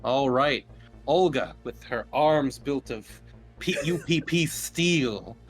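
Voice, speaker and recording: A man's voice: medium pitch, lively intonation, takes it slowly, low-quality recording. A man casually narrating a tabletop game as game master, jokey and given to swearing. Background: soft music.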